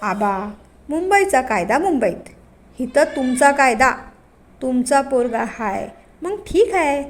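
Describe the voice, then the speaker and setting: A woman's voice: high-pitched, sing-song, takes it slowly, exaggerated voice. A woman doing a fawning police inspector.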